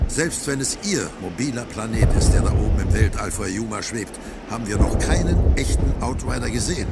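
A man playing a steady, fatherly older military commander, calm and gravelly, in an audio drama. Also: medium pitch, fairly quick, over loud music, natural voice. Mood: resolute. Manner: calm, authoritative. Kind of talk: German audio drama acting. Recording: echoing, noisy battle ambience.

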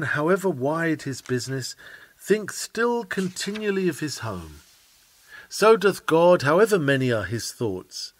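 A man reading an old sermon aloud in an oratorical preaching style, solemn, measured and emphatic. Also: a little high, dry recording. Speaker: sermon reader.

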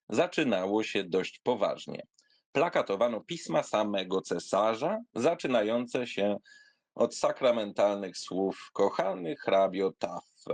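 A man giving a theatrical reading of a literary memoir, in an expressive, wry, conversational storytelling manner. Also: fairly low pitch, no echo. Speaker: narrator reading a literary essay aloud.

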